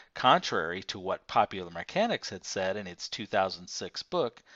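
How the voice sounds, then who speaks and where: Low-voiced man reading nonfiction, dull dry sound. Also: a bit bright, no echo. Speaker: audiobook reader. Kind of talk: scripted nonfiction narration, reading aloud. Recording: dull, lo-fi, dry close recording.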